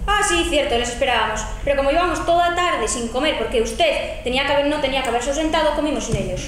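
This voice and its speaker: Teen girl as a bored husband, from Spain, quick high voice, school-hall echo. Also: a bit bright, slight background noise. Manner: grumbling, dismissive. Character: bored, huffy middle-class English husband. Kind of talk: amateur school stage acting. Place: large school hall stage. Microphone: far camera. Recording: echoey hall from far off.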